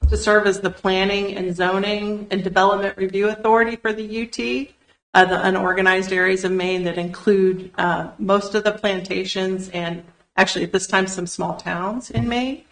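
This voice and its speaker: A woman with a gravelly edge to her voice and a middle pitch presents to county commissioners. She explains things in an orderly way and often pauses on "uh". The sound is roomy, picked up across a meeting room. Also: fairly even intonation. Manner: organized, explanatory, with frequent "uh" fillers. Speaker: state land use planner presenting to county commissioners. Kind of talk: formal public meeting presentation. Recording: roomy public meeting recording.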